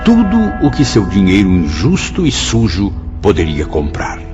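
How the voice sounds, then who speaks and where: A man's voice: low voice, wildly swooping pitch, normal speed. A man narrating a radio drama in a solemn, scripted style. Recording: dull lo-fi sound under loud music.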